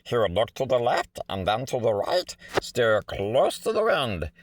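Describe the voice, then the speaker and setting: A man's voice: medium pitch, wildly swooping pitch, a little slow, a little hiss or hum. A man reading a rhyming children's picture book aloud in a theatrical, rhythmic way, stretching words for effect. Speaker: storybook reader.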